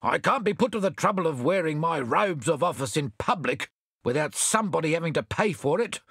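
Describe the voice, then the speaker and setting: A man's voice: a little high, animated, brisk, fairly bright tone, husky, no echo, studio quality, pitched above the performer's own voice, heavily acted. A man voicing a pompous, cowardly town mayor who blusters and gives orders. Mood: blustering. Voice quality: blustering, self-important.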